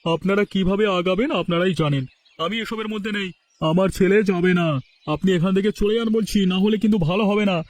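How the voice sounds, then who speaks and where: A man voicing a stubborn, penny-pinching village father in a cartoon, blunt and quick to bluster. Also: high voice, fairly even intonation, lightly acted. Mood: defiant. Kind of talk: cartoon voice acting.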